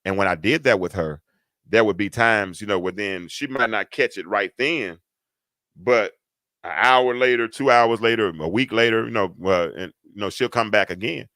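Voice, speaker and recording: Deep-voiced, emphatic middle-aged man. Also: moderate pace, bright, forceful tone, no echo. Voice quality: deep, stentorious voice. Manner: emphatic, repeats words for effect, folksy asides. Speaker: talk show host. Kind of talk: casual storytelling to an audience.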